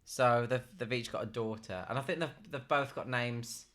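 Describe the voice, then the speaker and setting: A man's voice: medium pitch, fairly even intonation, normal speed, fairly bright tone. A British man hosting a podcast, chatting casually and jokily about films with a teasing manner. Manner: jokey, teasing. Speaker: podcast host. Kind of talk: casual film banter.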